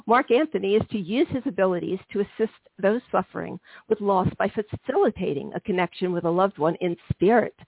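Female podcast host, slightly gravelly, chatty with ums, dry tinny phone-line sound. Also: mid-range voice, dry recording. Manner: warm, chatty, many ums and soft laughs. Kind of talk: hosting a healing-themed interview podcast.

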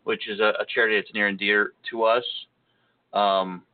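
A man's voice: lowish voice, flat delivery, normal speed. A man hosting a podcast in casual chat, joking and poking fun at himself. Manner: joking, self-deprecating. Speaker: podcast host. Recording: tinny phone-like audio.

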